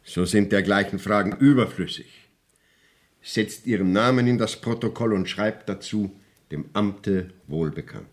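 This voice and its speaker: An actor playing a stern, educated court inspector in a radio play, speaking formally, precisely and impatiently. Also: male voice, on the low side, unhurried, natural voice. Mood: impatient, official.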